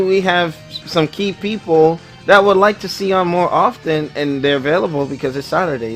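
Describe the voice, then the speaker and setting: A man hosting a casual group chat show, speaking in an informal, slangy and excitable way. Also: on the high side. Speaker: host.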